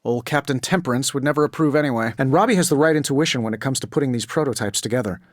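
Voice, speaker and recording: An actor playing a cocky genius billionaire superhero in a video game, with an American accent and a glib, sarcastic, wry delivery. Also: male voice, mid-range voice, very fast, no room sound, clean studio sound, lightly acted.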